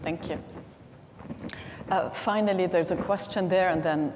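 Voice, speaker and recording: Brisk woman moderating a panel. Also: lowish voice, steady tone, background noise. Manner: brisk, organizing. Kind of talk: moderating audience questions. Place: large conference hall. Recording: long hall reverb, faint ambience.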